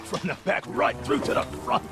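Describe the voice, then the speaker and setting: An actor playing a cowardly, chattering orc sidekick in a video game, rambling comically in a creaky voice. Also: male voice, moderate pace, close to an everyday voice. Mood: anxious chatter. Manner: rambling, nervously comic, wheedling. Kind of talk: video game cutscene acting. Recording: cinematic music behind.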